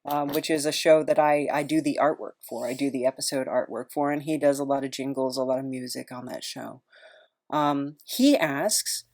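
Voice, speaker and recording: A woman's voice: very deep voice, normal speed, a little mellow. A woman podcast host chatting in a casual, rambling, self-deprecating way.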